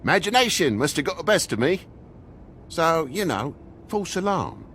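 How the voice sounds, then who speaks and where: Grumpy British toad dad, video game, very animated, slangy and defensive. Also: male voice, on the high side, normal speed, a little hiss or hum. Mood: nervous, sarcastic. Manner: blustering, rambling, defensive, slangy. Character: grumpy, evasive, chatty toad father, a working-class fable.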